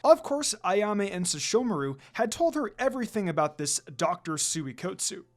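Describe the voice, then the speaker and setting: A narrator reading a scripted fan fiction story aloud in an expressive storytelling style. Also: medium pitch, moderate pace. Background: background music.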